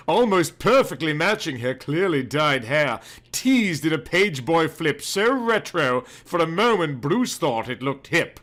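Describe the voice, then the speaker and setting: A man with a fairly high, bright voice narrates a pulpy comic story. His delivery is theatrical and mock-serious, swinging widely in pitch as he reads. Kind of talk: scripted, theatrical audiobook narration.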